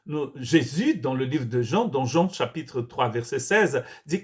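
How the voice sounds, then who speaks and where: Male pastor, Brazilian-born, earnest sermon delivery with frequent restarts, medium pitch. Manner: earnest preaching with frequent restarts and repeated words. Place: church.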